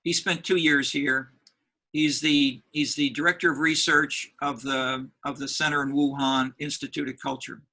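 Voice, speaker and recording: A man lectures a university class in a gravelly, bright voice. He halts often and repeats words as he restarts his sentences, thinking aloud as he goes. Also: medium pitch, flat delivery, normal speed. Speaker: university professor.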